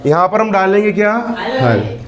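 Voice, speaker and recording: Young guy teaching maths, high voice, slow and repeating stuff, scolds the kids, classroom chatter behind. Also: sing-song, muffled recording. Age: 20s. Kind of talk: classroom lesson. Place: classroom with students. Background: students in the room.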